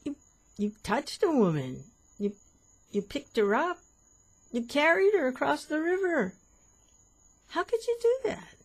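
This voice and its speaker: A woman voicing an indignant celibate male monk who nags his companion in shrill, rising disbelief. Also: sing-song, measured pace, pauses often, full character voice.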